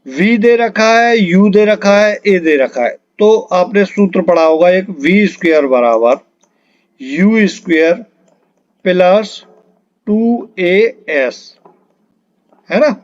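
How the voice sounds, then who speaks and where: A man's voice: high voice, lively intonation, slow, muffled recording. A man teaching an exam-preparation lesson step by step, explaining patiently and repeating key terms as he works through questions.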